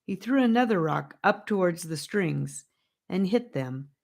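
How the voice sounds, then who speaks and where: A woman's voice: lowish voice, lively intonation, measured pace, vocal fry, darkish tone. A woman reading a children's adventure story as an audiobook narrator, in scripted narration.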